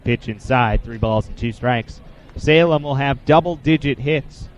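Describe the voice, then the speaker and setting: A young man works as a play-by-play sportscaster on a radio baseball broadcast. His voice sits at a medium pitch. He describes each pitch in a measured way and fills the gaps with statistics. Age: 20s.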